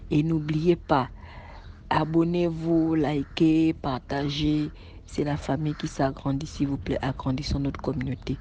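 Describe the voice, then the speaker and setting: Woman storyteller, earnest and repetitive, flat and mellow delivery, slight hiss. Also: low-pitched, a little slow. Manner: earnest, repeats phrases for emphasis. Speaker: story contributor. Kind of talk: telling a true spooky story, then a closing appeal. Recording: slightly dull sound with faint noise.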